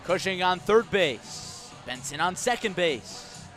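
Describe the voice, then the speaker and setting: A man with a notably high voice and an American accent delivers live baseball radio commentary. He builds drama with short, clipped phrases. Faint music drifts in behind him from the ballpark. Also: animated, slow, a bit bright. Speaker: baseball play-by-play announcer.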